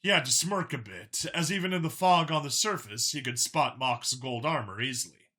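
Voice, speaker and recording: A man narrates an audiobook with a very bright, forceful voice at a middle pitch. His delivery is scripted and dramatic, clearly storytelling. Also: animated, moderate pace.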